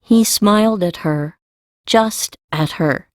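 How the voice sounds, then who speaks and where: Unhurried woman narrator, very slow pace. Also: medium pitch, no echo, studio quality. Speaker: audiobook narrator. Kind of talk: scripted story narration.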